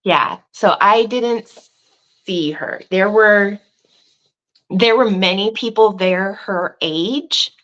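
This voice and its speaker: A woman in casual conversation, thinking aloud with restarts and asides, who says she has a loud voice. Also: mid-range voice, slow pace, dull audio. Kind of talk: casual chat.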